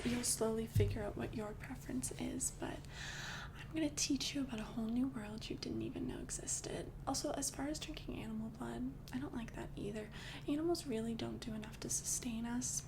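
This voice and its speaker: A young woman voicing a calm, doting female vampire girlfriend in an ASMR roleplay, speaking in soft, intimate whispers close to the mic. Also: on the high side, gravelly. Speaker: ASMR creator.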